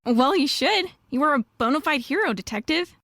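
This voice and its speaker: Woman as an earnest, high-voiced wolf lawyer. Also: animated, brisk, pushed and bright. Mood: eager, admiring. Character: earnest, admiring female wolf lawyer, professional. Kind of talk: radio drama dialogue.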